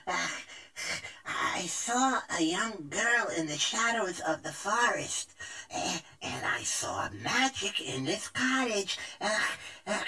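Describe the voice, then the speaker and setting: Bright, sharp-voiced scheming spy creature. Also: female voice, medium pitch, exaggerated voice, low-quality recording. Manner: gasping, halting, eager. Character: scheming, breathless winged spy serving a great lady. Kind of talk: fairytale audio drama acting.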